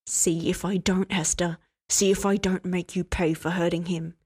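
A woman reading a story aloud, voicing a furious, cornered young man in a low, blunt, hard-edged voice. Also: flat delivery, moderate pace, darkish tone, slightly rough. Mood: menacing.

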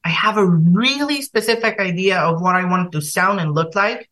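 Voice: mid-range voice, moderate pace, bright, forceful tone. A young singer from Bulgaria with a Bulgarian accent, talking casually as a podcast guest and hesitating with many fillers and restarts.

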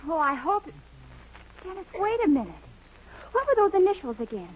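Casting: admiring young sweetheart, high girlish voice, very animated intonation, old-time radio comedy. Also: moderate pace. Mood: alarmed. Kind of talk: 1940s radio sitcom acting. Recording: muffled, noisy old radio recording, faint audience.

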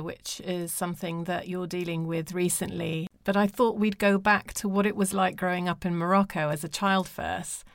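A woman's voice: on the low side, no room sound. A woman interviewer speaking conversationally as she introduces and questions her guest.

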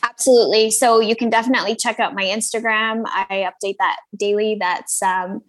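A woman's voice: medium pitch, steady tone, brisk, a bit bright. Hesitant Scottish-born woman, North American accent. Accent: North American with slight Scottish. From Scotland. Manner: hesitant, many ums and restarts. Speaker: singer being interviewed. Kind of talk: casual interview chat.

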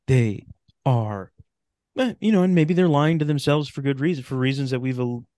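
A man with a mid-pitched voice in a very dry recording talks casually on a podcast. He is blunt and emphatic, slangy and sweary, and repeats phrases for stress. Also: moderate pace, mellow, no echo.

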